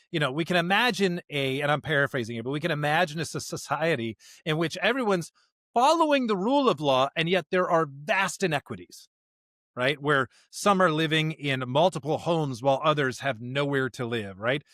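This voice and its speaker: A man co-hosting a podcast in a conversational discussion of scripture, speaking emphatically in halting bursts and often checking in with a rhetorical question. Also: fairly high pitch, lively intonation, fairly bright tone, dry recording, clean studio sound. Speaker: podcast co-host.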